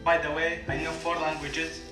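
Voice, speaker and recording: A young man from Syria with a Kurdish-accented English, telling his family's story to an audience at a community lunch in plain, halting sentences. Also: fairly high pitch, flat delivery, distant mic. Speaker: refugee guest speaker. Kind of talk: personal story told to an audience. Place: large room at a community lunch. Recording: echoey room, voice from afar, loud music.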